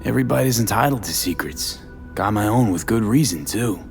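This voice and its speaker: Low-voiced, jaded cyberpunk mercenary. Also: male voice, animated, brisk, vocal fry, faint music behind. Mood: guarded, sardonic. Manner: clipped, terse, dry sarcasm. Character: jaded, sardonic male cyberpunk mercenary, blunt and guarded. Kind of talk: video game acting.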